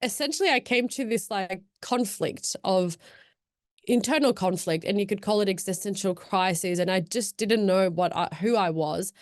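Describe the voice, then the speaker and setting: A woman's voice: medium pitch, moderate pace, no echo. Young woman guest, reflective and chatty, dry close recording. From Afghan, born in Pakistan, raised in Australia. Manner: thoughtful, rambling, many fillers and restarts. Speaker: podcast guest. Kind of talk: casual reflective chat.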